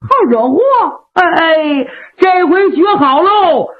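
A woman voicing two rowdy, hot-headed male warriors, loud, teasing and brash. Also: very high-pitched, animated, takes it slowly, pitched above the performer's own voice, full character voice. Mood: wheedling. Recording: phone-like narrow band.

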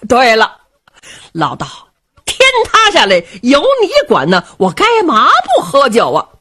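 Lady storyteller putting on a loud rowdy rebel king guy, booming and brash, husky and swinging all over in pitch. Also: wildly swooping pitch, unhurried, heavily acted. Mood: jovial. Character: boisterous carefree middle-aged male rebel king.

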